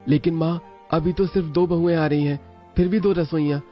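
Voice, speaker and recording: A dutiful, worried grown son played by a man in a cartoon family drama. His voice is fairly high and earnestly protesting, slightly muffled over soft music. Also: steady tone, a little fast, lightly acted. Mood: concerned protest. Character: dutiful, worried adult sons questioning their mother. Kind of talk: animated family drama dialogue.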